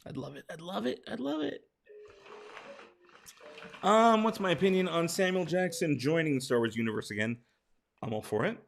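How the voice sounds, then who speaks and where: Tired, gravelly-voiced man chatting with his stream. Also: a little high. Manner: chatty, reads out viewer questions and answers them. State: hoarse, tired. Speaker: streamer answering chat. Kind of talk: casual live-stream chat.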